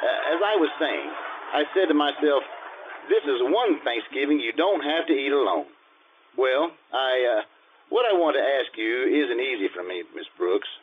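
An actor playing a shy, earnest male teacher with a halting, polite manner in an old-time radio comedy. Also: mid-range voice, animated, a little hiss or hum, natural voice. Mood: bashful, earnest. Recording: tinny, phone-like old radio-style sound.

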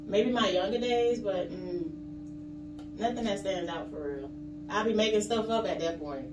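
A woman's voice: mid-range voice, moderate pace. A woman talking casually as a guest on a relationship podcast. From Haitian background. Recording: echoey room, distant mic, loud hum.

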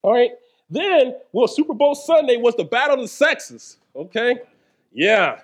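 A man's voice: very high-pitched, sing-song, a little slow, slightly rough. A male pastor preaching in a church service, playful and joking, calling out to the congregation. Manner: playful, jokey, calls to the congregation. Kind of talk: sermon.

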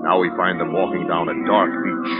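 A man's voice: deep voice, level tone, normal speed, loud music behind the voice. A man doing emphatic, polished, dramatic scripted announcing as a 1940s radio announcer. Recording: dull 1940s radio recording.

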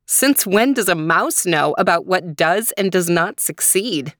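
A proud young woman of folktale, acted out by a female storyteller. Her voice sits higher, with a scornful, dismissive edge and rude impatience. The sound is dry and close. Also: very animated, takes it slowly, a bit bright, no echo. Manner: scoffing, dismissive.

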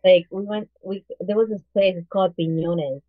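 A woman's voice: medium pitch, fairly even intonation, moderate pace. A woman co-hosting a podcast, telling casual anecdotes in a loose, informal way over a narrow, tinny connection. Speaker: podcast co-host. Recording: narrow, tinny phone-like line.

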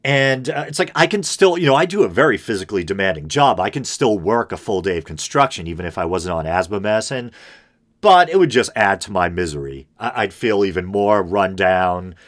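Low droning semi-gravelly man. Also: animated, fairly quick. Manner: rambling and self-deprecating, frequent ums and restarts. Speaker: podcast host. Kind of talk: casual solo podcast monologue.

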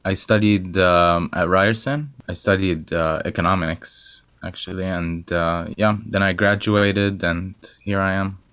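A man's voice: sits low, fairly even intonation, a little slow, hardly any pauses, fairly bright tone, clean tone, no echo, clean studio sound. A young man from Lebanon, a band guest in an interview, who chats casually and says yeah a lot. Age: young adult.

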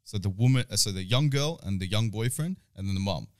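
A man's voice: fairly low pitch, animated, fast talker. A young man in his twenties, casually telling a swear-filled story with a laugh now and then. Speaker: podcast co-host. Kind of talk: casual chat.

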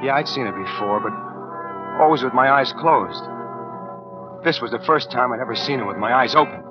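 A man acts out a tough small-time crook on the run in a 1940s radio thriller. He talks in clipped slang with a jittery edge, at a middling pitch. The old recording is muffled, with music underneath. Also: brisk, close to an everyday voice. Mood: entranced. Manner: slangy, clipped, hard-boiled. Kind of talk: 1940s radio noir acting, first-person narration.

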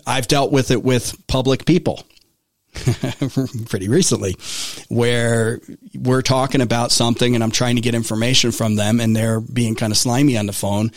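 Male talk host, voice going hoarse, rambling, close dry studio sound; casual political chat. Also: mid-range voice, fairly even intonation, normal speed, dry recording. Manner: rambling, many restarts.

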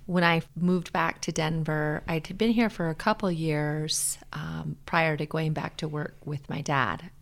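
A middle-aged American woman speaking earnestly and in a measured way as a guest in a reflective personal interview. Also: deep voice, steady tone, gravelly. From Hawaii, USA.

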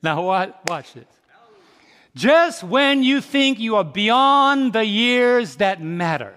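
A man in his fifties preaching a sermon, quizzing the congregation with questions and swinging from soft, slow reflection to loud, rising exhortation. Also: high-pitched. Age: 50s. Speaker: pastor. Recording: long reverb in a large hall.

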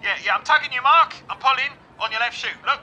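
Casting: male actor as hapless British bloke, very high, piercing voice, wildly animated, stammering comedy delivery. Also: fast, highs cut off, exaggerated voice. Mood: manic, gleeful. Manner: stammering, insistent. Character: hapless, overexcited bloke lost in the street. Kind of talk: comedy sketch acting.

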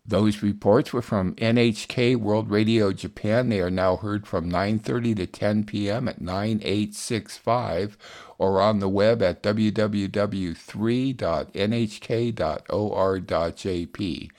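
A man's voice: on the low side, steady tone, a little slow, a little mellow. A man hosting a radio news show, reading scripted announcements and summaries. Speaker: radio show host and producer.

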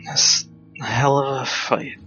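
A man playing a proud dying fighter in an audio drama, his delivery strained and slow. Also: mid-range voice, steady tone, pushed and bright. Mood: spent, proud. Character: dying male fighter, proud and grinning to the end. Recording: dull lo-fi sound under music.

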